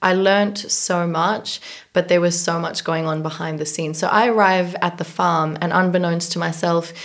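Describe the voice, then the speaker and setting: A woman's voice: lowish voice, steady tone, moderate pace. A young woman from South Africa, reflectively telling stories about her life as an interview guest. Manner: reflective, storytelling, frequent ums and laughs. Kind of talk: casual interview conversation.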